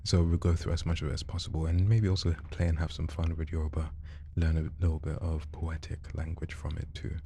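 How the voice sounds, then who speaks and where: A man's voice: a bass voice, flat delivery, brisk, creaky, mellow, slight background noise. A man hosting a podcast interview, chatty and upbeat, coaxing his guest along. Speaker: podcast host. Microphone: studio microphone.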